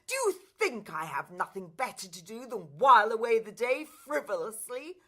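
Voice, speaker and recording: A woman's voice: a little high, sing-song, moderate pace, sharp tone, exaggerated voice. A woman playing a greedy, imperious evil stepmother in a pantomime, haughty, scolding and theatrical. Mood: outraged, bullying. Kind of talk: stage pantomime acting.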